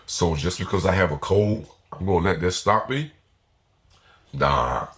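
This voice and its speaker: A man's voice: deep voice, animated, measured pace, a bit bright. A man hosting a motivational podcast, sounding nasal and foggy from a cold, speaking with earnest emphasis. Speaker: podcast host.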